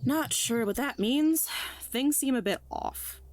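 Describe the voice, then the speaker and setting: A woman's voice: sits high, expressive, slow pace, a little hiss or hum. An actress in an audio drama playing a blunt, sardonic woman with a casual, slangy way of talking. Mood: wry, skeptical. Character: Olivia, blunt, sardonic, slangy human friend.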